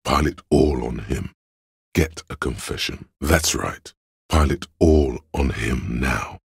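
Inner voice of reason for a detective game: extremely low, raspy, measured, condescending. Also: male voice, no room sound, clean studio sound, full character voice. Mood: intent, pressing. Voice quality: very deep, gravelly, husky. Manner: measured, deliberate, analytical. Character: cold, analytical inner voice of a detective's logic, condescending. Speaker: video game voice actor. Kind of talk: scripted game narration.

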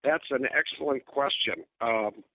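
An older man with an Upper Midwest American accent speaks over a thin, tinny cell phone line. His voice sits at an ordinary middle pitch, dry and close. He talks emphatically and deliberately, tossing in mocking asides. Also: no room sound. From United States. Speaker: guest. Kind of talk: phone-in interview.

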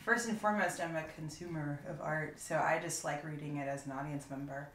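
A woman who acts, talking in a measured, reflective way with pauses during a roundtable chat. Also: low voice, steady tone, fairly bright tone. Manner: measured, thoughtful, pausing. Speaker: voice actress on a podcast panel. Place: room, group around a table. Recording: roomy, noisy raw recording.

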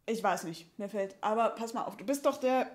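A woman playing a casual female lumberjack in an improvised audio play, talking in halting, colloquial fragments. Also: medium pitch, lightly acted. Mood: hesitant, casual. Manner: halting colloquial chat, trailing off. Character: female lumberjack, casual, hesitant about a job offer. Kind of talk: improvised audio drama dialogue. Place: small dark curtained stage. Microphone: stand microphone.

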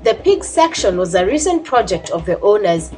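A woman's voice: medium pitch, big pitch swings, moderate pace, mellow. A woman reading scripted narration for a documentary. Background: music.

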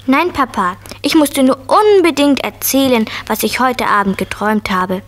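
A woman's voice: sits high, lively intonation, moderate pace. A woman playing a curious, eager preschool girl in a children's radio play, speaking in a naive, candid way. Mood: excited.

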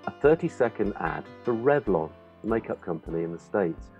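A man's voice: on the low side, expressive, normal speed, a little mellow, highs cut off, faint music behind. A man in his fifties giving an enthusiastic interview account over a video call from a room at home. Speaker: interviewed film director. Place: home room lined with records.

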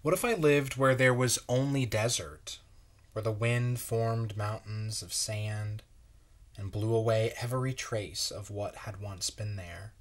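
Guy in his 30s with a lowish voice, reading a kids story out loud, measured w/ pauses. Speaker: children's story reader. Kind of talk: picture book read-aloud. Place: small bright room at home, indoors.

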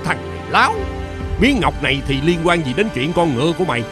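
A man playing a greedy, scheming rich old father in an animated fairy tale, pompous and drawling with hesitant filler sounds. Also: on the high side, fast. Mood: angry, domineering. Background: background music.

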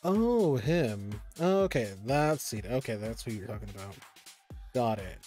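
A young man talks through his game session on a live stream in a loose, rambling way, stumbling over his words and swearing now and then. His pitch leaps up and drops back constantly. Soft music plays quietly behind him. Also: expressive, unhurried. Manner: rambling, stop-start, swears under his breath. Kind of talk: casual live-stream chat while gaming.

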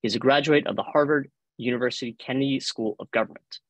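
A man with a Cuban accent reads formal introductions as a panel moderator. His pitch is average and the video-call sound is dry, with little room echo. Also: fast talker. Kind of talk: formal scripted introductions, reading biographies. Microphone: video call microphone.

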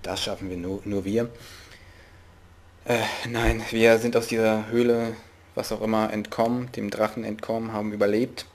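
A man's voice: lowish voice, level tone, moderate pace, darkish tone. A young man with a regional German dialect, commenting casually and jokingly while he plays a game. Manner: joking, playful, talks to himself. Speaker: let's play host.

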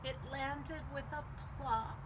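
A woman's voice: sits high, takes it slowly. A middle-aged American woman reading aloud to children at a library storytime, exclaiming and adding chatty asides, outdoors on a patio. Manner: animated, exclaiming, chatty asides to young listeners. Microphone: camera mic a short distance away. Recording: distant outdoor mic, clear background noise.

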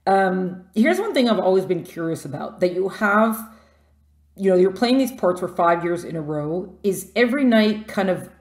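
A woman hosting a podcast with a bubbly, excitable manner, often laughing. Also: mid-range voice, normal speed, echoey room. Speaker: podcast host.

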